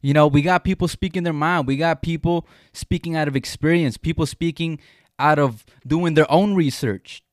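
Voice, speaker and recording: A man's voice: fairly high pitch, moderate pace, no echo, clean studio sound. A young man whose first language is Spanish, hosting a casual podcast chat in an earnest, emphatic way with frequent restarts. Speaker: podcast host.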